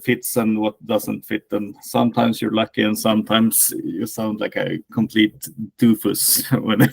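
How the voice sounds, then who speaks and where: A man with a thick Swedish accent, a band vocalist answering interview questions slowly and with many hesitations. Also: lowish voice, little pitch movement, creaky, slight background noise. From Sweden. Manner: slow, hesitant, many filler sounds. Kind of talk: casual interview talk.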